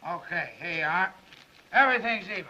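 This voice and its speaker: High-voiced, brash newspaper reporter. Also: male voice, lively intonation, brisk, bright, forceful tone, full character voice. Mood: brisk. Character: brash, cocky, hard-drinking male newspaper reporter. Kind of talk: 1930s film acting. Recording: muffled, hissy 1930s film soundtrack.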